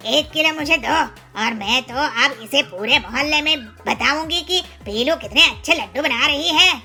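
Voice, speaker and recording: High, bright, chatty bird lady played by a woman. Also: moderate pace, full character voice. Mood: delighted. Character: chatty, friendly female bird customer, an elder sister. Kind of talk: Hindi children's cartoon story dialogue.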